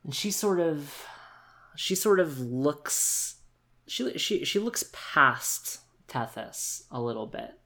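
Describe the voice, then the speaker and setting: A tabletop role-player of unclear gender casually improvising narration in a halting, wordy and wry manner. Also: mid-range voice, animated, pushed and bright.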